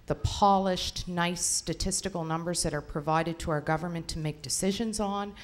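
Low-voiced female delegate, measured and deliberate, filling pauses with "um", addressing a convention floor. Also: steady tone. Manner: measured, with frequent ums. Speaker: union delegate asking a question. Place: convention hall.

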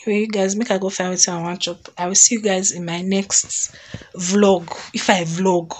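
A Nigerian woman in her thirties chatting casually to camera in an expressive, exclamatory storytelling way, recorded on a phone in a room at home. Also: medium pitch, normal speed. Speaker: vlogger. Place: small indoor room at home.

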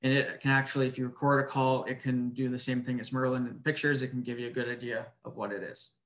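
A man giving an informal slide presentation over a video call, in a somewhat halting, hesitant way. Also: medium pitch, flat delivery, a bit bright. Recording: roomy echo on webinar audio.

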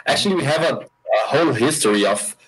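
A man's voice: medium pitch, animated, brisk, a bit bright, rough recording. A man from Brazil, Portuguese native, speaking English with a Brazilian accent as an interview guest, hesitant with many restarts. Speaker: interview guest, game designer. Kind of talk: casual interview over a call.

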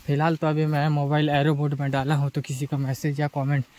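A man's voice: on the high side, monotone, brisk, mellow. A man from Chhattisgarh chatting casually to viewers while riding a bike and hiking a forest trail, filmed on a body-worn camera. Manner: chatty, addresses viewers as guys. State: tired. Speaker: travel vlogger. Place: outdoors on rural roads and forest trails.

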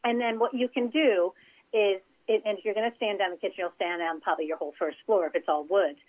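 Woman, interior designer, chatty practical explainer, phone-line sound, mid pitch. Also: fairly even intonation, fast. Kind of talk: casual interview over phone.

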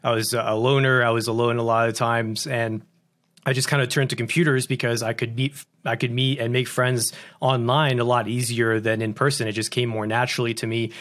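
Male guest, 30s, from France; lively, quick, acts out quoted lines, broadcast-mic interview. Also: medium pitch. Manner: animated, quick bursts, acts out quoted dialogue. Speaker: guest, company founder. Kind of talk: casual interview storytelling. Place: home studio with posters and lamp. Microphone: large broadcast mic on boom arm, over-ear headphones.

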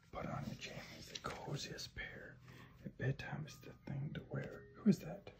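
A man reading a picture book to a small child at bedtime in a gentle, often hushed sing-song, recorded on a phone in a room at home. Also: medium pitch, flat delivery, measured pace, gravelly, whispered. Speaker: parent reading to a toddler. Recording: noisy home phone video with clicks.

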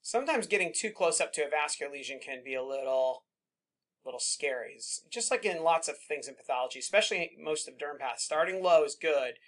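A middle-aged man, a pathologist, teaching residents in a relaxed, conversational way and reassuring them often. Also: fairly high pitch. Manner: conversational, reassuring, many fillers like "kind of" and "right?". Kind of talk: informal teaching at a slide session.

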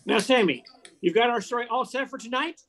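A woman's voice: on the high side, brisk, fairly bright tone. An older woman playing a wisecracking, scheming male reporter in a radio play, breezy and wry, over a video call. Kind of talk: old-time radio play acting.

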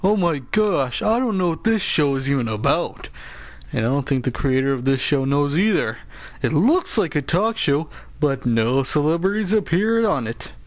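A man's voice: on the high side, big pitch swings, normal speed, no echo. A man voicing a grumbling, broke male cartoon show announcer who whines, sighs and makes sarcastic complaints. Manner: whiny, complaining and sarcastic, with many sighs and groans. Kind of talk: comedic cartoon voice acting.

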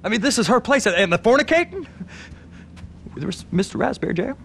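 An actor playing a timid, anxious young American dockworker in a video game, halting and stammering submissively. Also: male voice, high voice, very animated, gravelly, fairly bright tone, slight background noise, exaggerated voice. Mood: anxious, embarrassed. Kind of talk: video game cutscene acting.

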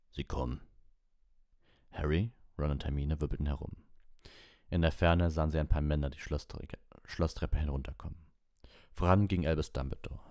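A man's voice: extremely low pitch, darkish tone, no echo, clean studio sound. A man reading a story aloud as scripted audiobook narration. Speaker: audiobook reader.

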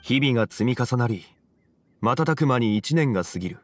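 An actor playing a calm, wise, courteous gentleman in a game drama, speaking in a composed, old-fashioned formal way. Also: mid-range voice, moderate pace, dry recording, natural voice. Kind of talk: Japanese anime game dialogue.

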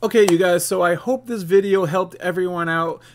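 A man's voice: sits high, normal speed, faint music behind. A man hosting a casual step-by-step screen tutorial. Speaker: tutorial video host.

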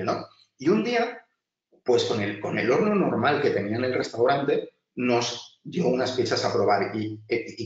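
Chatty instructor, distant roomy audio. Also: male voice, mid-range voice, dull audio. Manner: chatty, many restarts and filler, addresses class directly. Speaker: design instructor. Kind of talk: webinar lecture over slides.

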